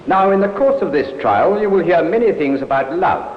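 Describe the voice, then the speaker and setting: An actor playing a formal, probing prosecutor, declamatory and deliberate, in old radio drama style. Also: male voice, high voice, lively intonation. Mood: solemn, declamatory. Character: formal, probing male Crown prosecutor in court. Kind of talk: 1950s radio drama acting. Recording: echoey hall, muffled noisy old radio.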